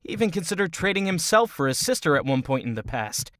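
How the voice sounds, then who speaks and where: Female actor as skeptical adventurer, low voice, lively intonation, blunt, wry, fantasy audio drama. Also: fast talker, slight background noise. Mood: worried, disbelieving.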